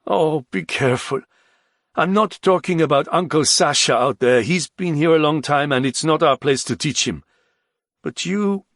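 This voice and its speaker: A man narrating an audiobook as an anxious, soft-hearted comrade, pleading with a slight stammer. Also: on the high side, moderate pace, no echo, clean studio sound, pitched above the performer's own voice. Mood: worried.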